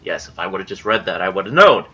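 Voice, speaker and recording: A man's voice: low voice, sing-song, brisk, pushed and bright, husky, highs cut off. A man hosting casual gameplay commentary, sounding stuffy in the nose after just waking up, chatty and self-deprecating. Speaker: gaming channel host. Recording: game sound and gunfire behind.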